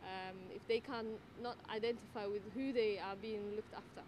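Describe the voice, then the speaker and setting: A woman from the Netherlands who works as a midwife and tutor, answering interview questions in a thoughtful way with many fillers and pauses. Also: medium pitch, fairly even intonation. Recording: noisy background ambience.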